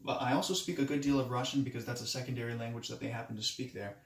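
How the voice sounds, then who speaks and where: A man in his late twenties, raised in Moldova with Romanian as his first language, introduces himself for a class in a halting way, often restarting his sentences. His pitch sits in an ordinary middle range. The recording has a noticeable room echo. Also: fairly even intonation. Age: 20s. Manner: hesitant, halting, many restarts. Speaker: student. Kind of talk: self-introduction speech.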